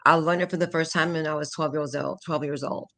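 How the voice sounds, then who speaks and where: A woman's voice: deep voice, steady tone, brisk, a bit bright. A woman, chatting animatedly as an interview guest about teaching languages, laughing often and slipping into French. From Houston, Texas. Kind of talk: casual interview chat.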